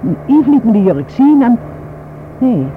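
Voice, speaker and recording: A woman's voice: a little high, sing-song, measured pace. An actress playing a concerned, thoughtful woman in a radio play, talking in a reflective, conversational way. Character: concerned, thoughtful woman friend back from travels. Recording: noisy, tinny narrow-band radio play recording.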